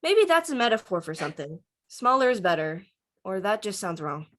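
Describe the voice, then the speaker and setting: A woman's voice: mid-range voice, sing-song, a little fast, a bit bright. A woman playing a dry, teasing, star-loving teenager at a table read of a film script. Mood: musing, wry. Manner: dry, musing, teasing banter.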